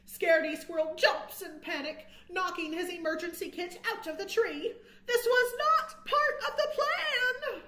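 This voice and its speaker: A middle-aged woman reading a picture book aloud to young children with expressive, dramatic emphasis and chatty asides, as a storytime host. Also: very high voice, a little slow. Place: small indoor room. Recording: roomy, echoing indoor sound.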